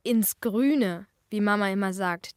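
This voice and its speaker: An actress playing a lively, cheeky girl detective in a children's radio play, chatty and expressive. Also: mid-range voice, a little slow, dry recording, clean studio sound, lightly acted. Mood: grumbling, cheeky.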